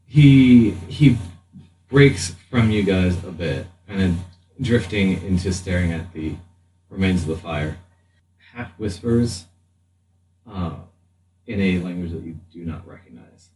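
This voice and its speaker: A man's voice: deep voice, animated, takes it slowly, long pauses, dark tone. A man narrating a tabletop game as its game master. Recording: roomy distant mic, faint hiss.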